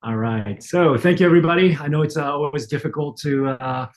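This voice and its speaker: A middle-aged man from France, with no trace of a French accent, giving a relaxed online lecture, full of fillers and restarts. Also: a little high, brisk. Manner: frequent fillers and self-restarts, conversational. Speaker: optometrist lecturer. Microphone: online webinar.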